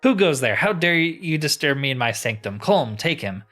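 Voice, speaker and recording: A man's voice: fairly high pitch, big pitch swings, moderate pace, a bit bright, faint music behind, voice pushed up. Man reading as an imperious witch leader. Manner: imperious, formal. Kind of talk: reading game dialogue aloud.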